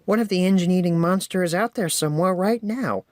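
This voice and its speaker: A man's voice: high-pitched, brisk, a little mellow, no room sound, studio quality, voice pushed up, close to an everyday voice. A man voicing a timid, panicky young engine in a children's story, anxious and wailing. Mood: worried.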